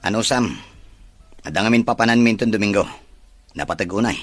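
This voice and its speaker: A man playing an evasive, sweet-talking unfaithful husband with a husky voice in a melodrama. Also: on the low side, fairly quick, faint music behind, lightly acted. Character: smooth-talking cheating husband, evasive office worker. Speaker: actor. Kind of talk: melodrama dialogue.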